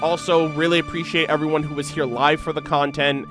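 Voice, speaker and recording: A man's voice: a little high, level tone, brisk. An American man giving casual, informal reaction commentary as he thinks aloud about game lore and reads bits of it out. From United States. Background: music.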